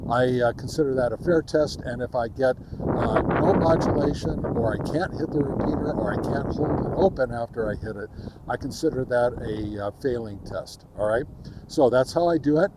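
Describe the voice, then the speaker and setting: A man presenting an informal field test outdoors, speaking haltingly with many ums. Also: mid-range voice, heavy background noise. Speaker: ham radio video reviewer, host. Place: outdoors in a windy park.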